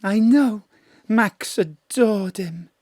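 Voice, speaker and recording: A woman's voice: medium pitch, drawn-out words, warm tone, no room sound, clean studio sound. An actress playing a genteel, polite and gushing well-off lady in an audio drama. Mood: grateful, wistful. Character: genteel, grateful well-off lady client, cat lover.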